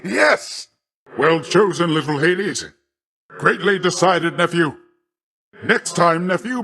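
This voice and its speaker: Big hearty sea god uncle for a video game, boastful n theatrical, voice kinda on the high side. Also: male voice, fairly bright tone, heavily acted. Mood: boastful, amused, then chiding. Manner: grandiose, theatrical, avuncular. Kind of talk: video game character acting.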